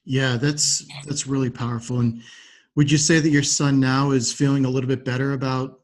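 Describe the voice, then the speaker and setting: A man hosting a podcast interview, responding in a measured, affirming and supportive way. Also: mid-range voice, level tone.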